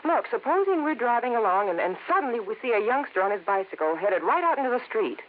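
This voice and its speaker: An actress playing an earnest, persuasive wife in a radio safety skit, with a coaxing delivery. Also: female voice, on the high side, sing-song, quick pace. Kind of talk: 1950s radio public service skit. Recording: tinny old radio broadcast with hiss.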